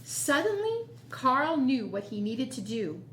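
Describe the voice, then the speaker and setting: A woman reads a children's picture book as a storytime host. Her voice is fairly high and very animated, moving at an unhurried pace with quiet asides. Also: slight background noise.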